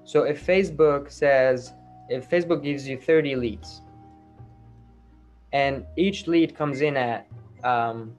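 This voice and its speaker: A man giving a reassuring, persuasive sales pitch as a marketing agency salesman on a recorded call. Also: takes it slowly, pauses often. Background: music.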